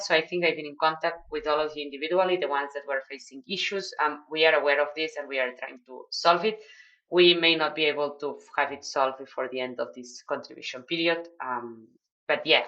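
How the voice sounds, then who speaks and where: A woman with a Spanish accent explains tasks to newcomers on a video call, her voice sitting low. She talks in an explanatory way, pausing on fillers and restarting phrases. The audio is a touch dull. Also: a bit bright. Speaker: project mentor. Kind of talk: online video call briefing.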